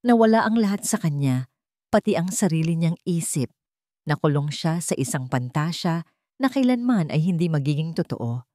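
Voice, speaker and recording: A woman's voice: low voice, lively intonation, moderate pace, dark tone, no room sound, clean studio sound. A woman audiobook narrator reading a dramatic first-person story in a solemn, emotive manner.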